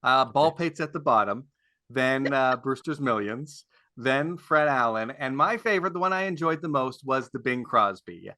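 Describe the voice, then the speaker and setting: Bright-voiced man, dry close sound. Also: medium pitch, expressive, normal speed, dry recording. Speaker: panelist. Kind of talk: casual panel chat. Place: online video call panel.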